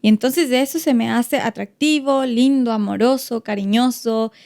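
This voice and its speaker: A woman's voice: fairly high pitch, normal speed, darkish tone. A woman from Ecuador with an Ecuadorian accent, talking reflectively as a podcast guest with many fillers and restarts. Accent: Ecuadorian Spanish. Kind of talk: casual podcast conversation.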